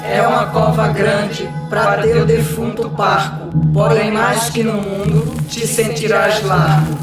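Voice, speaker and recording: Solemn high-voiced mourner, slow chant. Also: male voice, steady tone. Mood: solemn, mournful. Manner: solemn, drawn-out, measured. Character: mourning peasant man chanting at a burial, solemn. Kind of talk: slow sung-chanted funeral verse. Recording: echoey, with loud music behind.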